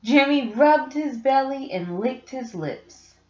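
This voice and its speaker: A woman reading a picture book aloud for children in an expressive storytelling style, as a teacher would. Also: sits high, slow. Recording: roomy, slightly dull home recording.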